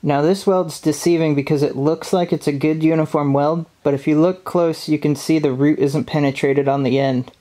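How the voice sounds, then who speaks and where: A man in his thirties calmly narrating welding footage, explaining step by step what he is doing. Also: on the high side, normal speed, hardly any pauses. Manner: calm, step-by-step technical explanation. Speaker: welding instructor. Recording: voice-over with loud welding arc hum behind.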